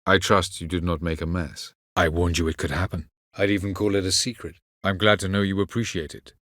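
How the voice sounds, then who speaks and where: A male actor playing an aloof, ancient elven mage in a video game, speaking in a measured, condescending way with formal, archaic phrasing. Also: sits low, flat delivery, a bit bright, no echo, clean studio sound. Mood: cool, condescending. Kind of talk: video game character acting.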